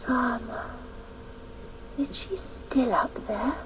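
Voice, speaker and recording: Radio play little girl: languid, sad, high, very slow, noisy recording. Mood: wondering. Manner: languid, sighing. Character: beautiful, weary, sorrowful little girl. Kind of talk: radio drama acting.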